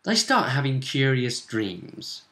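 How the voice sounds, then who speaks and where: Bright-voiced man telling a story, echoey room. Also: mid-range voice, sing-song, slow pace. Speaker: game master recapping a campaign session. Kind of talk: casual tabletop game storytelling.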